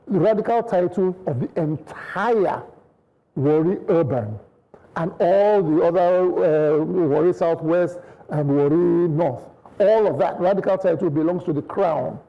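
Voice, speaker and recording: A man's voice: a little high, unhurried, creaky, highs cut off. A man with a Nigerian accent, a studio guest arguing his point in a TV panel debate, insistent and full of restarts. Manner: insistent, many restarts and hedged clauses.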